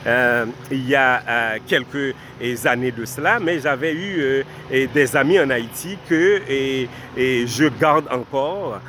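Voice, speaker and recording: Man, Creole speaker, mid-pitched voice, formal address to viewers, repeats points, outdoors, faint street traffic behind. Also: a little slow, hardly any pauses, a bit bright. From Haiti. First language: Haitian Creole. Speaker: vlogger giving life advice. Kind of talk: direct-to-camera advice talk.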